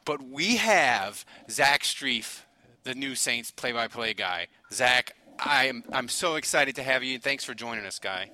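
A man hosting a casual sports interview podcast, chatty and excitable in his delivery. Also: mid-range voice, a little slow. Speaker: podcast host.

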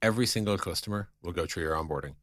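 Really deep voiced guy hosting a business podcast, casual, thinks out loud, no room echo. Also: very fast. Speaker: podcast co-host leading the questions.

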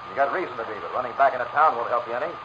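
A man's voice: mid-range voice, racing, lightly acted. An actor playing a surly, jumpy gangster's henchman in a 1940s radio drama, talking in a brusque tough-guy way. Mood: urgent, uneasy. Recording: noisy, muffled old radio recording.

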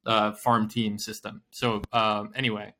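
A man with a bright, forceful voice co-hosting a casual investing chat. He rambles through his points, restarts often, and laughs a lot. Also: fairly low pitch, flat delivery, a little slow. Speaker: podcast co-host.

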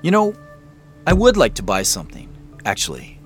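A man's voice: mid-range voice, huge pitch swings, moderate pace, faint music behind. An actor playing a polite, curious, somewhat hesitant man in a naturalistic audio drama. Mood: distracted, polite. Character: polite, curious, hesitant male customer, Joseph.

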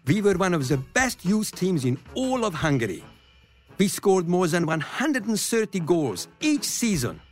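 A man's voice: high-pitched, big pitch swings, a little slow, soft background music. An actor playing a grown football star who fondly and wryly tells of his boyhood. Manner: fond, wry first-person storytelling.

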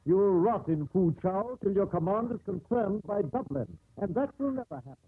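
An officious man, a shipping agent who talks down to people in formal, pompous phrases. His voice is fairly high and husky, in old radio drama style on a muffled recording. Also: animated, normal speed. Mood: indignant, threatening. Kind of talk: 1950s radio drama acting.

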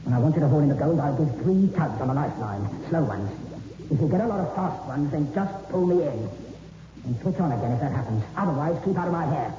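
An actor playing a ruthless, curt and domineering criminal diver in a 1960s radio thriller. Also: male voice, on the high side, lively intonation, fast talker, a crowd in the background, lightly acted. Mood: irritated, bossy. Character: ruthless, impatient male diver and murderer. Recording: echoey, tinny old radio recording.

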